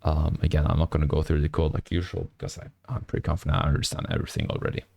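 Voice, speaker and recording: A young man with a hoarse, nearly lost voice, mumbling through a late-night coding stream with many fillers. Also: rumbling low, little pitch movement, racing, no echo. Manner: speaks quietly to avoid disturbing neighbors. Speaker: programming streamer. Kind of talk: casual coding stream commentary. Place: small apartment room at night.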